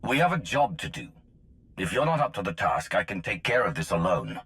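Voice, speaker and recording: A man's voice: low-pitched, big pitch swings, a little fast, a bit bright, heavily acted. An actor playing a curt, dutiful, self-assured male operative in a cinematic video game. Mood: cool, curt.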